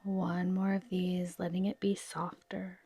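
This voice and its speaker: A woman with a soft, whispery voice gently guiding a yoga nidra relaxation in a soothing, unhurried manner. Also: on the low side, monotone, slightly rough, no echo. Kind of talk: guided relaxation meditation.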